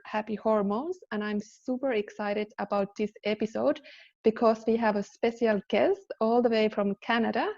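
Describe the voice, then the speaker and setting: A woman's voice: medium pitch, darkish tone, dull audio. A woman from Finland, hosting a live podcast interview in an upbeat way, with fillers and restarts. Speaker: podcast host.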